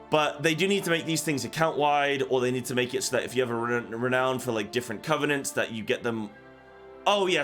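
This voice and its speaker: Man, mid-pitched voice, casual gaming commentary, rambling, repetitive, background music. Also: fairly bright tone. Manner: rambling and repetitive, talking off the cuff. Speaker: gaming content creator and host. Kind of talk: casual reaction commentary on a game announcement.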